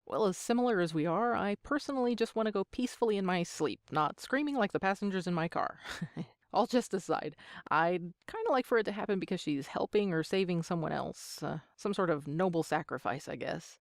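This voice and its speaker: A woman's voice: on the low side, animated, a little fast, no room sound, studio quality. A woman in her thirties answering interview questions as an amateur voice actor, with a joking, self-deprecating manner. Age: 30s.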